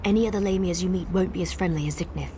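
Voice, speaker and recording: A woman plays a resolute wood elf woman in a fantasy game, laying out plans in a fairly low voice. Her delivery is measured and conspiratorial, with clear noise behind her. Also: natural voice. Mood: guarded, instructing. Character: pragmatic female wood elf guide, secretive and resolute. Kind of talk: video game quest dialogue. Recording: game dialogue over clear background noise.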